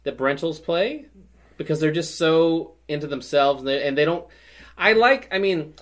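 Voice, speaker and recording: A man's voice: on the high side, moderate pace, fairly bright tone. A man co-hosting a podcast, chatting casually in a teasing, joking way. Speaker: podcast co-host. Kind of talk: casual chat.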